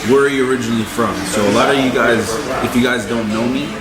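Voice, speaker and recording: A man's voice: medium pitch, fairly even intonation, fast, a loud crowd. A man in his twenties from Boston with an American accent, chatting casually and slangily as he answers viewer questions in a vlog. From United States, grew up in Boston and Miami. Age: 20s. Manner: slangy, conversational, often tagging "you feel me". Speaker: vlogger, host. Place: indoors at a restaurant booth. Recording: roomy, echoey eatery sound.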